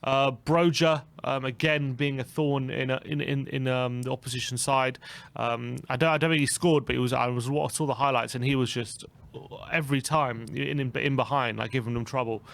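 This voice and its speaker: A man's voice: medium pitch, fairly even intonation, moderate pace, creaky, fairly bright tone. A man, co-hosting a casual football chat, talking in a rambling way with many restarts. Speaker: co-host.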